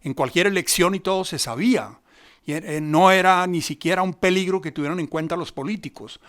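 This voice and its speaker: A Colombian man with a somewhat high voice explains things conversationally as an expert. He is discursive and emphatic, unfolding his points like stories. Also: a little fast, a bit bright. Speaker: expert commentator, theatre researcher.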